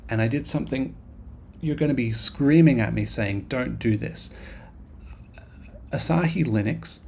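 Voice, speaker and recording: A man's voice: medium pitch, vocal fry. A man casually narrating his game development devlog, rambling and self-deprecating, with frequent ums and restarts. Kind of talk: casual devlog narration over screen recording.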